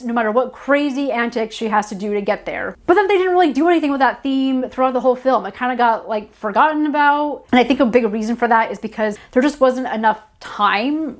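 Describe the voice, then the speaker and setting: Chatty woman in her early thirties reviewing films. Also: on the high side. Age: early 30s. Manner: chatty, animated, says "like" often. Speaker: horror film reviewer, channel host. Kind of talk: casual spoken film review.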